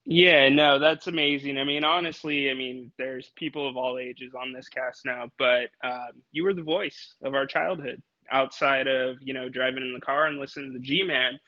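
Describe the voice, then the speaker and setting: Bright-sounding guy in his 30s hosting a podcast, laid-back, kinda dull audio. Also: mid-range voice, normal speed, few pauses. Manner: relaxed, affirming, casual fillers. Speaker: podcast host. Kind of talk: casual interview.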